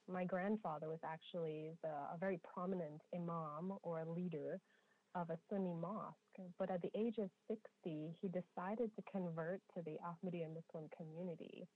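A woman from Trinidad and Tobago telling her personal story conversationally as a podcast guest over a tinny, narrow call line. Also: fairly low pitch.

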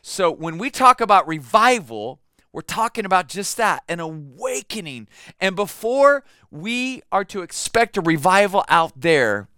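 A man's voice: high voice, big pitch swings, unhurried, bright, forceful tone, clear, resonant tone, no room sound. A man, a pastor, preaching a sermon in a conversational way, telling a family story with fillers and repeated words.

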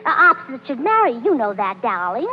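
Hoog kwetterend, warhoofdig jong vrouwtje. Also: female voice, high-pitched, sing-song, fairly quick. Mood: scheming, delighted. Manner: scatterbrained, hesitant, earnest chatter. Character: scatterbrained, chattering, meddling young wife, sweet and eager. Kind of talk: 1930s radio comedy acting. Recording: muffled, hissy 1930s radio recording.

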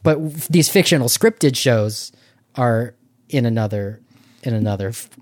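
A man's voice: medium pitch, lively intonation, a little slow, darkish tone, dry recording. Middle-aged man, close dry sound. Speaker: podcast co-host. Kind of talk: casual conversational discussion.

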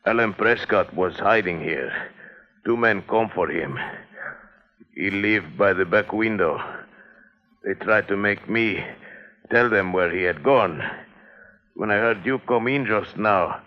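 An actor playing a wary shopkeeper with halting, terse speech in a 1950s adventure drama. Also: male voice, fairly low pitch, close to an everyday voice. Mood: weary, cooperative. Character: wary Portuguese shopkeeper, beaten but loyal informant. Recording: muffled, dated 1950s soundtrack.

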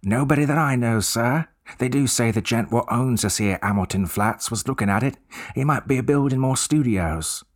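A man voicing a young male Cockney bellboy who speaks bluntly with rough, dropped grammar. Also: medium pitch, normal speed, voice pushed up, natural voice. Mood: respectful.

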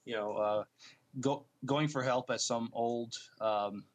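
A man of average pitch co-hosts an informal podcast on films. His delivery is casual and somewhat halting, in the manner of an amateur fan sharing news and opinions. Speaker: podcast co-host. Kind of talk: casual movie news chat.

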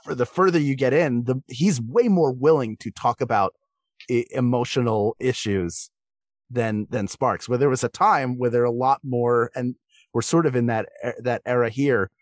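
A man speaking as a guest on a discussion podcast, thinking through his phrases with a halting delivery. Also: mid-range voice, no room sound, clean studio sound. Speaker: guest voice actor.

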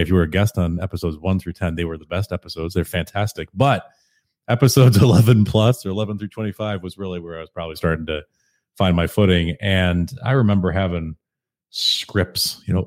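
A man with a deep, calm voice chatting casually on a podcast, relaxed and self-deprecating. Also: fairly quick. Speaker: podcast host and guest.

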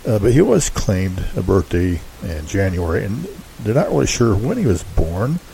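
A man hosting a show, giving casual, unscripted background commentary in a halting, rambling, hedging way. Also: low-pitched, sing-song, gravelly, warm tone, rough recording. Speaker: host introducing an old-time radio show. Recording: faint background hiss.

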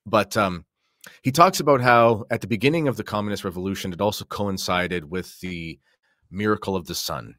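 Low-voiced man commenting casually, dry recording. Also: normal speed, fairly bright tone, dry recording. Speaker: podcast guest and commentator.

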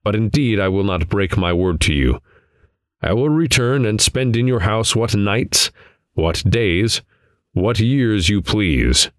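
A man performs a proud, impulsive hero from a storybook. He declaims earnestly in old-fashioned language. His pitch moves widely and the sound is dry and close. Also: lively intonation, slow, dry recording. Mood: pleading, resolute. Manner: earnest, declamatory, archaic diction. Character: proud, impulsive male narrator-hero, stubborn and defiant. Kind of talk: audiobook character dialogue.

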